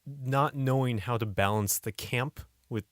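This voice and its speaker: A man's voice: medium pitch, a little slow, no echo, clean studio sound. A man co-hosting a podcast in casual discussion, questioning and debating his points. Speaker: podcast co-host.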